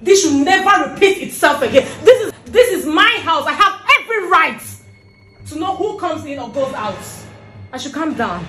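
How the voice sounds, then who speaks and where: A woman's voice: sits high, animated, normal speed, soft background music. A woman playing a young wife who scolds her sister-in-law and orders her out of the house. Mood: furious. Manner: loud, scolding, repeats demands. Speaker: wife in a comedy skit. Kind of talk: family quarrel skit. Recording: echoey room.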